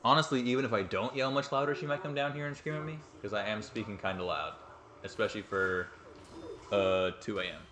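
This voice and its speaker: A man's voice: fairly low pitch, fairly quick, fairly bright tone. Young male streamer, rambling and self-mocking, roomy echoey sound, freely swearing between fights. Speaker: game streamer. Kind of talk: casual live-stream commentary. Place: home room at night.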